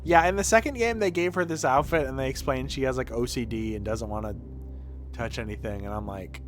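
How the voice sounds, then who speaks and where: A man doing casual, sweary video game commentary as a streamer in an animated way. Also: mid-range voice, brisk, faint music behind.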